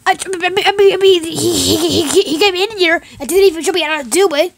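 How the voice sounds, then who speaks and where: A woman plays a tantrum-prone male game character with a very high, wildly swinging voice. He whines, stammers and repeats himself in exasperation, in a homemade comic skit recorded dry and close. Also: very animated, moderate pace, a bit bright, no echo, full character voice. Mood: frustrated. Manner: stammering, repetitive, exclaiming.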